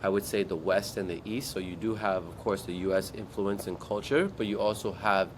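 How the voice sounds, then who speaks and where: A man from Guam, a startup founder, telling his story in an interview in a relaxed way and acting out his past thoughts. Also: sits low, a little fast, noisy background. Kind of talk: interview answers.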